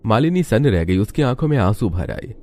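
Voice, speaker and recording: A man's voice: fairly low pitch, very animated, a little fast, darkish tone, faint music behind. A man reading scripted story narration as the narrator.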